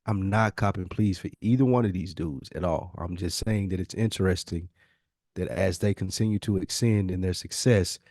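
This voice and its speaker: A man's voice: sits low, normal speed, darkish tone, dry recording, studio quality. A man giving his opinions in an emphatic, slangy and profane casual conversation, often repeating phrases to drive a point home. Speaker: podcast speaker.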